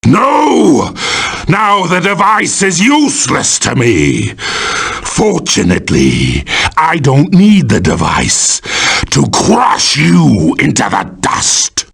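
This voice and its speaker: Raspy, power-mad game supervillain. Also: male voice, medium pitch, wildly swooping pitch, takes it slowly, full character voice. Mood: taunting. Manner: grandiose, theatrical, menacing. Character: boastful, power-mad male supervillain shouting in battle. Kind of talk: video game villain voice acting.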